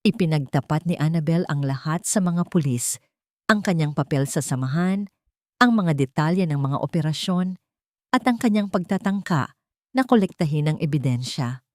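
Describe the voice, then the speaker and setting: A woman narrating a dramatic audio story in a steady, dramatic reading. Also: sits low, moderate pace, no room sound, clean studio sound.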